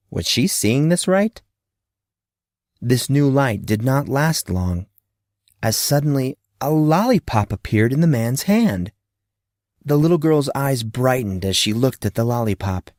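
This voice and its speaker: A man's voice: mid-range voice, a little mellow, no room sound, studio quality. A man narrating scripted fiction expressively, in a storybook manner that dramatizes a child's thoughts.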